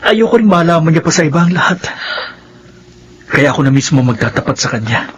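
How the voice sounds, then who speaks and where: A man's voice: fairly high pitch, sing-song, brisk, a little hiss or hum. A man with a Filipino accent playing an earnest, weary adult son confessing in a radio drama. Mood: earnest, resolved. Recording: muffled radio drama audio with music.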